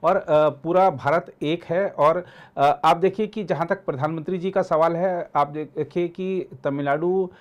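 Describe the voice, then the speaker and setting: Male political commentator, voice a bit high, halting delivery with repeated phrases. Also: steady tone, lo-fi sound. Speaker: news editor and commentator. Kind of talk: political discussion.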